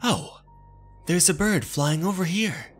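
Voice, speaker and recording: A man voicing a frightened teenage girl in a horror manga dub, anxious, breathy and pleading. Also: high voice, slow, soft background music, voice pushed up. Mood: uneasy, startled.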